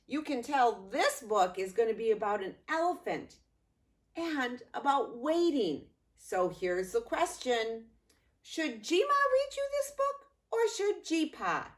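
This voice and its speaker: Slow, animated grandmother reading a storybook. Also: high voice. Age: older. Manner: expressive, unhurried, addressing small children. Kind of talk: storybook read-aloud with playful asides to young children.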